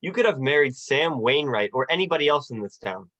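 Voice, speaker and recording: A man's voice: mid-range voice, animated, a little fast, a bit bright, lo-fi sound. A young man playing an earnest, stammering, hot-headed small-town husband in a folksy 1940s radio drama style. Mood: dejected. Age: young adult.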